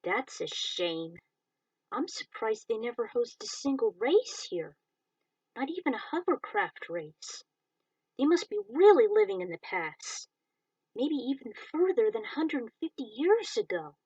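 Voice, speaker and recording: A woman's voice: a little high, big pitch swings, unhurried, a little mellow, husky, dry recording, far from the mic, exaggerated voice, rough recording. A woman acting out an earnest young man, a space racer and bounty hunter in a storybook. He speaks in a reflective, wistful manner, with a dry sound. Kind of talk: story character dialogue.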